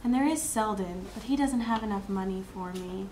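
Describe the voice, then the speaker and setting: A woman's voice: medium pitch, a little fast, a little mellow, lightly acted. A woman playing a vain, money-hungry socialite fallen on hard times, in an amateur dramatic monologue. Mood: weary, defeated. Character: vain, ruined socialite woman craving money, world-weary. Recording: echoey room, faint background noise.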